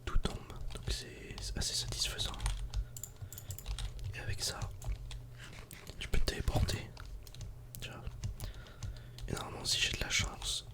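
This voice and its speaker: A man whispers casual ASMR game commentary in a breathy, unvoiced voice, speaking directly to the viewer. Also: a little slow, pauses often. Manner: casual, soft-spoken, addressing the viewer directly. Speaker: ASMR gaming video creator.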